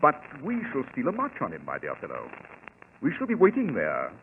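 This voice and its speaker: An actor playing a keen, commanding gentleman detective in a radio drama, with an English accent and precise, formal, theatrical diction. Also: mid-range voice, huge pitch swings, a little fast. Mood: brisk, self-assured. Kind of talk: 1940s radio acting. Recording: crackly, narrow-band old radio recording.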